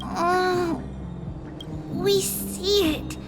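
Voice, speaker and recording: A woman voicing an earnest, childlike little girl in a video game, sweet and anxious, with halting speech. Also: very high-pitched, steady tone, exaggerated voice. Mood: worried, hesitant. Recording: music behind the voice.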